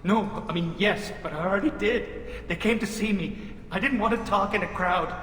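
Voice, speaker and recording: A man voicing a haunted young male alien survivor in a video game, halting and timid. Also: high-pitched, a bit bright, slight background noise. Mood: fragile, grateful. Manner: halting, timid, self-deprecating. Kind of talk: video game dialogue acting. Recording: echoey reverberant hall.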